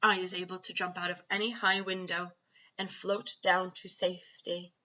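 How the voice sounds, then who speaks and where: A woman reads as an excitable, bragging storybook child with childish broken grammar. The delivery is very bright and forceful, on a slightly dull lo-fi recording. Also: on the low side, moderate pace. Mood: proud. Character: excitable boastful child recounting wild dreams, ungrammatical. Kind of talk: children's storybook read-aloud.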